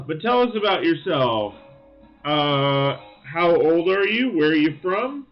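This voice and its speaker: A man hosting a casual live stream, thinking aloud with lots of fillers and greeting viewers, his voice slightly raspy. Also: on the high side, sing-song, takes it slowly, highs cut off, faint music behind. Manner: friendly greetings to chat viewers. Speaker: livestream host. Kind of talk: casual live-stream chat while building.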